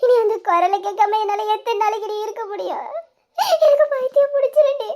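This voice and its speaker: A woman's voice: extremely high pitch, brisk, muffled recording. A woman voicing a lovesick young woman who sobs and pleads to her younger sister. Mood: tearful, longing. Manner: sobbing, pleading, breaking into tearful laughs. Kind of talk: dramatized audio story.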